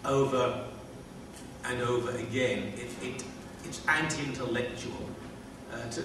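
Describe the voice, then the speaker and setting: A man in his fifties hosting a panel, introducing guests with wry jokes and many fillers. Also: medium pitch. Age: 50s. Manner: wry, self-deprecating, hesitant with fillers. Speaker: panel host. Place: large lecture hall. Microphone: table mic, distant camera. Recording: echoey hall from far off, noisy.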